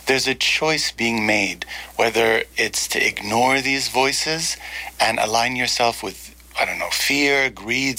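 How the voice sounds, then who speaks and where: Guy from egypt being interviewed, bright clear-cut tone, earnest, picks his words carefully. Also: medium pitch, poor audio. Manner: earnest, deliberate. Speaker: interview guest, writer. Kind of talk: reflective conversational interview. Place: small in-person studio.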